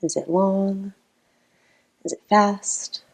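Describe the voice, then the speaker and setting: A woman leading a guided meditation in a calm, gentle, unhurried manner with long pauses between phrases. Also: mid-range voice, creaky, slightly rough.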